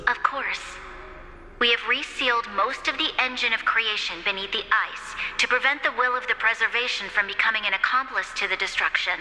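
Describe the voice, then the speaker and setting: A resolute formal leader lady, very bright voice, game dialogue, faint music. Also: on the high side, fairly quick, in a large hall, rough recording. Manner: formal, earnest. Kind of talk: video game voice acting.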